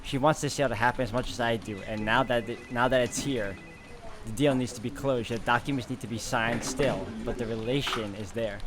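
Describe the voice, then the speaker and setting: A man's voice: mid-range voice, brisk. A man hosting a game playthrough, reading visual novel narration aloud.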